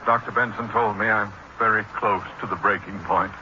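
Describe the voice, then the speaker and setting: An actor playing an irritable middle-aged American school principal, pompous and formal, in 1940s radio comedy style. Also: male voice, on the low side, fairly even intonation, moderate pace, lightly acted. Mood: nervous, grumbling. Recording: noisy, muffled 1940s radio broadcast recording.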